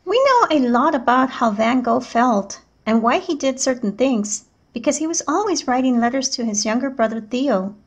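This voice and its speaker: A woman reading a picture book aloud as a teacher, in measured, clearly enunciated storytelling narration. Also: sits high, lively intonation.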